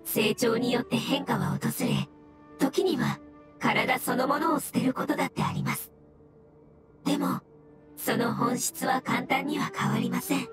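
A woman voicing a gentle, solemn mushroom spirit in an anime game, speaking formally and with earnest courtesy. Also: sits high, steady tone, unhurried. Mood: serene, reverent. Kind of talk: Japanese anime game voice acting. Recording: game music behind.

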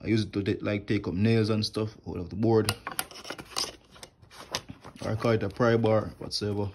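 Man, low voice, relaxed show-and-tell, phone mic up close, faint clicks. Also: normal speed, background noise. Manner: relaxed, plain talk with many "like" and "right here". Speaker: HVAC technician showing his tools. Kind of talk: casual show-and-tell vlog. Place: indoors at home, wooden floor. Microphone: phone camera held close, filming his hands.

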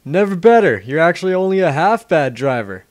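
A man's voice: on the high side, sing-song, brisk. A man playing a cocky, wisecracking, sarcastic adventurer in a fan-made game parody dub. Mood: sardonic. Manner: flippant, sarcastic.

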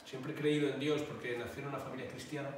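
A man in his forties from Barcelona with a Spain accent, explaining faith in a measured way as a priest being interviewed. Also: medium pitch, little pitch movement. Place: indoor hall with wooden bench. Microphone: small mic held out at arm's length by interviewer. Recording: very echoey room, distant, faint noise.

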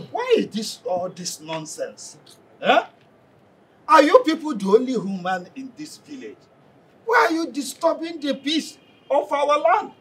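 An actor with a Nigerian accent playing a hot-headed, threatening villager who rants and taunts. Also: male voice, very high-pitched, very animated, a little slow, pauses often, fairly bright tone, heavily acted, poor audio. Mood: indignant. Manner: ranting, taunting, repeats threats. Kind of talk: Nollywood film acting.

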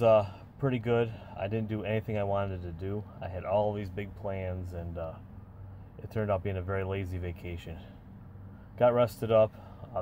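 A man's voice: sits low, slight background noise. A tired American man in his forties, a truck driver, chatting casually and rambling from inside his truck cab into a phone camera. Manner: rambling, relaxed, many filler pauses. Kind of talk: casual vlog chat. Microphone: phone or dash camera at arm's length.

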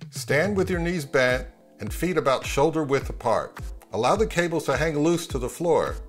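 A middle-aged man giving scripted, tongue-in-cheek instructions to viewers in a measured, deadpan way. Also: fairly high pitch. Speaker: host of a hobby audio channel. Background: music.

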